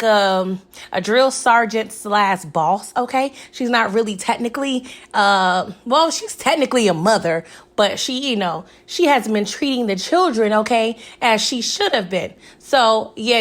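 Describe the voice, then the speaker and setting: A young woman with a raspy, hoarse voice, chatting casually through a TV episode recap and often asking "okay?". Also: fairly high pitch, fairly bright tone. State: hoarse from recent illness. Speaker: TV recap vlogger.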